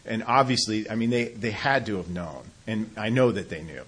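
Halting, low-voiced man telling his story. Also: fairly bright tone, slightly rough. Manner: halting, many restarts and self-deprecating laughs. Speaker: speaker sharing a recovery story. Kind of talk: personal testimony talk.

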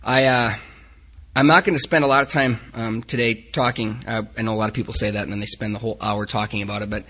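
Upper Midwest man, bright and punchy tone, candid self-deprecating recovery talk. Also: lowish voice, steady tone, quick pace, husky. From Upper Midwest of the United States. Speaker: speaker at a recovery group meeting. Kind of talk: personal recovery talk to a group.

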